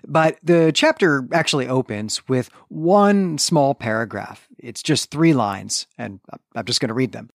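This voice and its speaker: An enthusiastic male podcast host with an average-pitched voice, talking through a book recap in a conversational way. The sound is dry, with very little room in it. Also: lively intonation, a little slow, creaky, hardly any pauses, dry recording.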